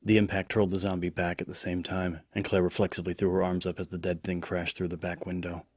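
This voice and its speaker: A man's voice: deep voice, monotone, fast talker, dry recording. A man reading scripted horror fiction as a dramatic audiobook narrator. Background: background music.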